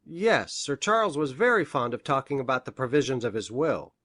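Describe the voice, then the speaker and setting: A woman voicing an earnest, respectable gentleman doctor in a storybook, speaking in a precise, formal way. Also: rumbling low, sing-song, a little fast, dry recording, clean studio sound, voice pushed down, heavily acted. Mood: earnest, informative.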